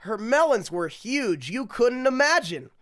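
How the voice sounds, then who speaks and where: A storybook reader with a very animated delivery and an unhurried, slow pace. The reading is theatrical and drawn out, broken by muttered, deadpan asides. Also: mid-range voice. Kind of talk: reading picture books aloud with joking asides.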